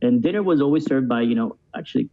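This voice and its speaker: A man's voice: mid-range voice, lively intonation, quick pace, mellow, muffled recording. A man in his thirties from Cuba, raised in the USA, chatting reflectively as a podcast guest with frequent "you know". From born in Cuba, moved to the USA as a child. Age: 30s. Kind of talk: casual conversational interview.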